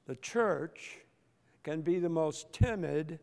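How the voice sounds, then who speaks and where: An older male pastor preaches from a pulpit microphone in a large church sanctuary. His voice sits a little high for a man and carries a gravelly edge. He speaks slowly and emphatically, and long church reverb trails each phrase. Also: a little mellow. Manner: slow, emphatic preaching with deliberate pauses. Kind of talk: sermon.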